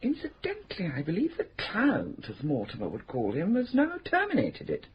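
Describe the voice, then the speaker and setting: A woman's voice: on the low side, wildly swooping pitch, normal speed. A coy, genteel spinster with a sly streak, her voice swooping up and down as she teases and parries. The old radio play recording is dull and carries a faint hiss. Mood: discreet. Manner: arch, coy, prim and mischievous. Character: genteel scheming spinster charity organiser. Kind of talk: 1970s radio drama acting. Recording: dull slightly noisy radio drama recording.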